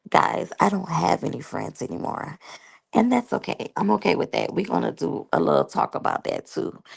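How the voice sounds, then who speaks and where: A woman's voice: on the low side, creaky, husky. A woman in her thirties reviewing a reality show and sharing personal stories in a candid, chatty way, talking straight to her viewers. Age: 30s. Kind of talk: casual review and personal storytelling.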